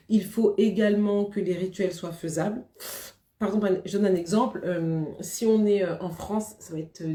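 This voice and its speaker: Woman host, lowish voice, chatty live-stream talk, laughs often, echoey room. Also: a little mellow. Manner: chatty, laughs often, greets viewers by name. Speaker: Vodou priestess hosting a live stream. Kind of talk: casual live-stream chat.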